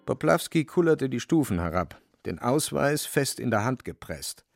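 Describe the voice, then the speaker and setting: A man's voice: medium pitch, big pitch swings, normal speed, creaky, loud music behind the voice. A man narrating a radio play in a wry, dramatic literary storytelling manner.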